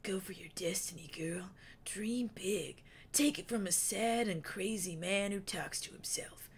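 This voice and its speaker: A woman doing a hushed, wistful wandering man. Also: medium pitch, measured pace, slight background noise, natural voice. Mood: gentle, wistful. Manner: soft, hushed, drawling delivery. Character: kindly eccentric wandering man, sad and wise.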